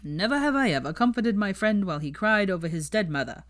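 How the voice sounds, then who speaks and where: A woman voicing a curious, blunt, deadpan young male wizard in a story reading. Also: mid-range voice, quick pace, pitched above the performer's own voice.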